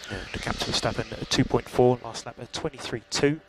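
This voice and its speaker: A man engineers a race over team radio, reading gaps and lap times in a level, unhurried voice. His pitch sits in the middle. The radio adds a clear hiss behind him. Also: steady tone. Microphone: headset radio. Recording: noisy team radio line.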